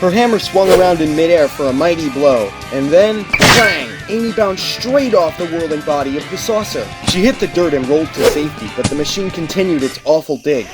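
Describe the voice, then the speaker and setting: A man's voice: fairly high pitch, animated, fairly quick, few pauses. Male story narrator over music. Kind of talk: dramatic scripted story narration.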